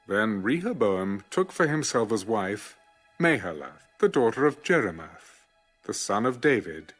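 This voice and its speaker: Slow, expressive male narrator over music. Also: medium pitch. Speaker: Bible audiobook narrator. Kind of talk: scripted scripture narration. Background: background music.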